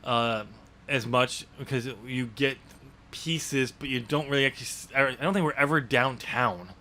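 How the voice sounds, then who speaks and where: An American man in a casual podcast chat, talking haltingly and often restarting his sentences. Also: medium pitch, sharp tone. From New York State, US. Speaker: podcast host and author discussing his novel.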